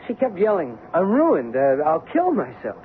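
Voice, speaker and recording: An actor playing a harried, diplomatic producer's assistant in an old-time radio drama, halting and wry. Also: male voice, on the high side, wildly swooping pitch. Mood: wry exasperation. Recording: phone-like, noisy old radio recording.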